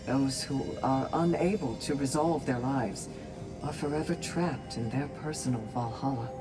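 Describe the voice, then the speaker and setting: A woman's voice: very deep voice, moderate pace. A woman playing a steadfast, kindly Valkyrie warrior in a video game, speaking in a composed, articulate, formal way. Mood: solemn, instructive. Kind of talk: video game cinematic acting. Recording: game music behind the voice.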